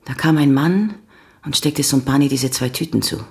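An actress playing a candid, unwavering avenging woman who confesses to police in a radio crime drama, speaking with calm, earnest deliberation. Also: extremely low pitch, moderate pace, vocal fry. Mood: composed, matter-of-fact.

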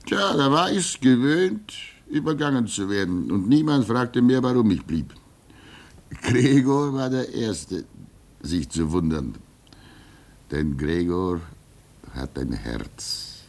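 A man in his sixties playing a weary, pious old keeper at a polar station in a radio play, speaking wistfully with soft laughs. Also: lowish voice, animated, slow. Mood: reflective. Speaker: actor.

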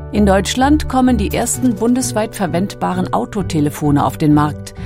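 A woman reading scripted documentary narration. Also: medium pitch. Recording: music behind the voice. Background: background music.

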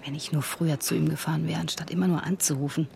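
A woman's voice: low voice, fairly even intonation, fast, creaky, darkish tone. A young woman playing a regretful, anguished soldier's girlfriend, emotional and insistent, in a radio play. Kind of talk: German radio play acting.

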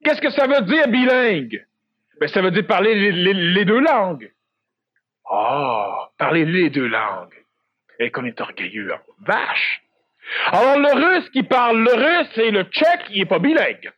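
High-voiced Québécois man lecturing emphatically. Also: very animated, unhurried, no echo. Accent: Québécois French. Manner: emphatic, repetitive, rhetorical questions to the audience. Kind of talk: public lecture. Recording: dull lo-fi dry recording.

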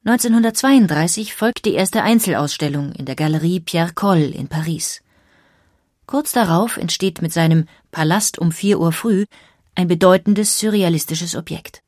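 Lowish female narrator, dry studio sound. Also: moderate pace, darkish tone, no echo. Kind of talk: scripted documentary narration.

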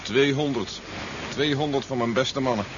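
An actor playing a brisk, fatherly army general in a Dutch science fiction radio drama, authoritative and measured. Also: mid-range voice, a bit bright, lightly acted. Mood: grave, businesslike. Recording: dull, noisy 1970s radio recording.

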